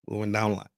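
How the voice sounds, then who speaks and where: A man's voice: fairly low pitch, vocal fry, dry recording, clean studio sound. A man hosting a podcast, ranting casually about politics with heavy swearing, slang and repeated phrases. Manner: profane, emphatic, repetitive, street slang. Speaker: podcast host. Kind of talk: casual opinion rant.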